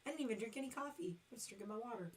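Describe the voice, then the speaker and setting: A middle-aged woman hosting a long casual livestream, hoarse and losing her voice, rambling with lots of fillers. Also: on the high side, rapid-fire, a little hiss or hum. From Detroit. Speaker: livestream host.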